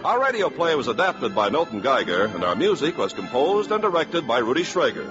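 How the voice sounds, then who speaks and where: An American man reading scripted announcements in 1950s radio style, deliberate and emphatic. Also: mid-range voice, wildly swooping pitch, over music. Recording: dull 1950s radio broadcast recording.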